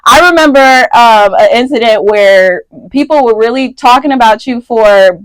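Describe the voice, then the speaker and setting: A woman's voice: fairly high pitch, moderate pace, dry recording, poor audio. A woman in her twenties with an American accent hosting a casual chat, supportive and slangy, repeating phrases for emphasis. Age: 20s. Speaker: podcast host.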